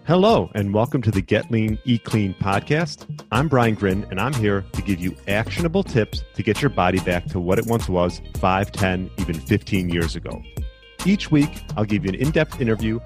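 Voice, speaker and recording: A male podcast host with a low voice and lively, swinging intonation gives an upbeat scripted intro before asking questions. He sounds dry and close to the mic. Soft music sits faintly underneath. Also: moderate pace.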